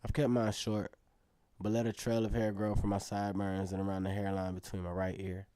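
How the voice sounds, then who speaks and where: A man's voice: deep voice, monotone, fairly quick, dark tone, no room sound, lightly acted. An actor playing an earnest teenage acrobat who narrates his story in a reflective, conversational way in a radio drama. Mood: matter-of-fact. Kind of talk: first-person narration in an Afrofuturist radio drama.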